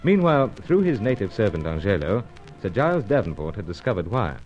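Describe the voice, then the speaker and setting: Low-voiced, wry British secret agent. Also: male voice, big pitch swings, fairly quick, a little mellow, dull audio, soft background music. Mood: wry, thoughtful. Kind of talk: old-fashioned radio serial acting and first-person narration.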